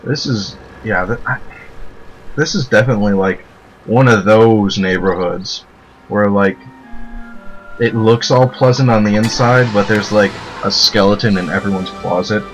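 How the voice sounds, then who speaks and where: A young man with a deep voice giving casual, joking let's play commentary, rambling and laughing as he plays. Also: a little slow, soft background music, low-quality recording.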